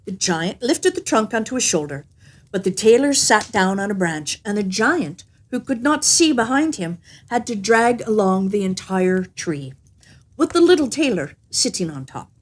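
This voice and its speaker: A woman reading a fairy tale aloud as an expressive, scripted narration. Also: mid-range voice, normal speed. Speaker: storyteller.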